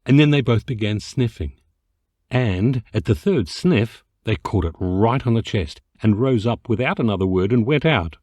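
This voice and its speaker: A man reading a comic literary story as an amateur narrator, theatrical and expressive with mock dignity. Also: fairly low pitch, moderate pace, no echo. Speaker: amateur voice actor reading an audiobook excerpt.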